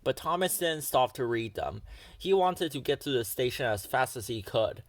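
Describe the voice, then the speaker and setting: A man reads a children's storybook aloud with expressive narration. His voice sits in an ordinary middle pitch, recorded close and dry. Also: moderate pace, no room sound. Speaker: storybook reader.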